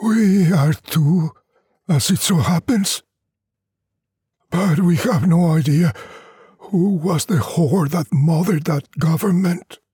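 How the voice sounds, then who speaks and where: Storybook villager, played by a male narrator: fatalistic, wry, plaintive, pitched up and breathy, unhurried. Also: dark tone, dry recording, studio quality, full character voice. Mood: wry, challenging. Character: stubborn, fatalistic poor villagers, world-weary and wry.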